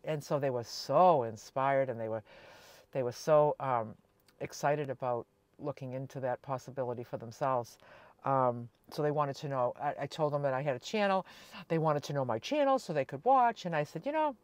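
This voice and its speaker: A woman in her sixties chatting casually to camera as a vlogger, telling everyday stories in a chatty, animated way. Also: sits low, a little fast, dry recording. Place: inside a small camper van.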